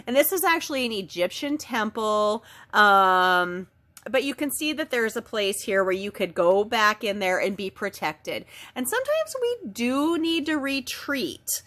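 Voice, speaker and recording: A woman with a head cold and a slightly nasal voice, giving a casual spiritual oracle card reading in a chatty, gentle way. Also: on the high side. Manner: chatty, gentle, with frequent ums and self-corrections.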